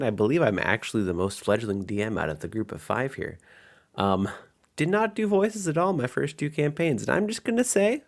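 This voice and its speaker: A man's voice: a little high, gravelly. A man working as game master, narrating and commenting casually in an informal, self-deprecating way.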